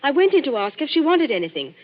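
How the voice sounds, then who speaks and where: A flustered young companion. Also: female voice, high voice, very animated, fast. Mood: earnest. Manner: breathless, flustered, earnest. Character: anxious young woman companion to an actress. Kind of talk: 1940s radio acting. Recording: dull old radio broadcast recording.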